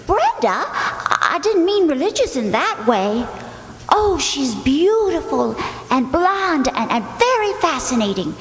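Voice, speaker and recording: A woman's voice: high voice, sing-song, unhurried, heavily acted. A woman playing a flustered, forgetful English sister-in-law in a radio play, breathless, dithering and repeating herself. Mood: gushing, hesitant. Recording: echoey, reverberant hall.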